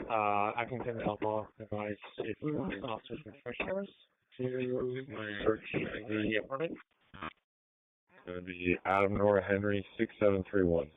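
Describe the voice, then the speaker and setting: Low-voiced man on tinny police radio. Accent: American. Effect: two-way radio. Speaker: police or emergency unit on radio. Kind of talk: brief radio transmissions. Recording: tinny phone-like scanner radio.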